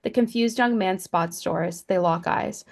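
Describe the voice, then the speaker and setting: A woman's voice: lowish voice, normal speed, vocal fry. A woman reading stage directions as scripted narration at a screenplay table read.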